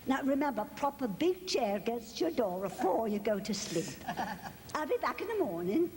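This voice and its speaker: A woman playing a halting, servile older housekeeper of a haunted castle in an audio drama. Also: on the high side, big pitch swings. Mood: grateful, nervous. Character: timid, deferential elderly housekeeper of a haunted castle. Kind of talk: theatrical audio drama acting. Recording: long reverb, faint background noise.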